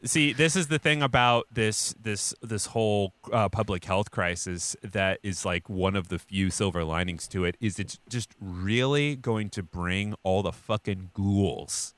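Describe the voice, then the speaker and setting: Male podcaster, lowish but bright and forceful, dry close sound, rambling and colloquial. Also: unhurried, few pauses, no echo. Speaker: podcast host. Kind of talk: casual comedic news chat.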